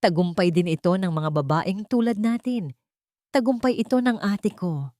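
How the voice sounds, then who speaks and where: A woman's voice: mid-range voice, lively intonation, normal speed, dark tone, no echo, clean studio sound, close to an everyday voice. Woman playing a heartfelt, supportive sister-in-law. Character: warm, supportive sister-in-law proud of a graduate.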